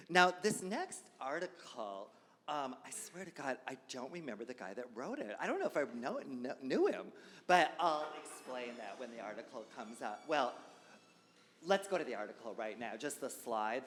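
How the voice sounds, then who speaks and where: Animated, rambling male host in an echoey hall. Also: medium pitch. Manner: chatty, rambling. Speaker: host of a reading event. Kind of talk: casual, unscripted live emceeing. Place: indoor gallery event space, before an audience. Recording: echoey hall with background noise.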